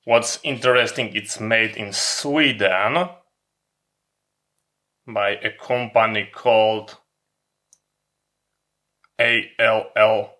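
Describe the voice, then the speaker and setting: A man giving an informal hands-on product demonstration as a hobby vlogger, explaining in deliberate, halting phrases with emphatic pauses. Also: lowish voice, steady tone, bright, forceful tone.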